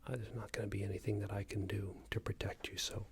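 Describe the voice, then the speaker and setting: A man doing an ASMR roleplay as a passive-aggressive company boss, speaking in a soft, breathy whisper with hesitant, patronising phrasing. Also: lowish voice, level tone, fast talker. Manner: hesitant, repetitive, patronising workplace talk. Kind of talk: ASMR roleplay, improvised monologue.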